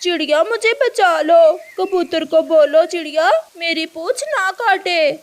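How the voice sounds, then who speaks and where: A woman's voice: extremely high pitch, unhurried. A woman voicing a sly, boastful female crow thief in a children's cartoon, with dramatic delivery. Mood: panicked pleading. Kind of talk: Hindi children's cartoon character voice.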